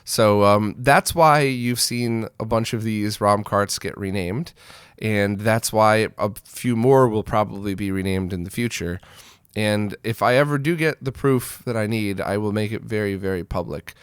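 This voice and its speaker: Man, smooth clear voice, dry close sound, blunt informal news commentary, opinionated host. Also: on the low side, measured pace, dry recording. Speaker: host of a retro gaming news show. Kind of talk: casual news roundup commentary to viewers.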